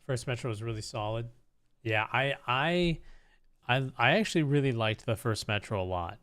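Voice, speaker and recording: A man's voice: mid-range voice, lively intonation, normal speed, fairly bright tone, clear, resonant tone. A man hosting a livestream, chatting casually to viewers in a rambling, hesitant way and often repeating himself. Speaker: live streamer host.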